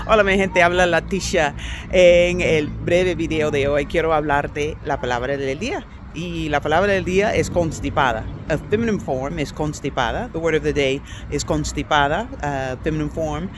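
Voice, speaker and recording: A chatty woman teaching language in a relaxed vlog, whose first language is English. She talks in an easy, halting way, filling pauses with ums and uhs and moving between languages. She is recorded outdoors in a city, with faint traffic in the background. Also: deep voice. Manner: chatty, hesitant with many fillers, switches between languages. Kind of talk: casual vlog lesson.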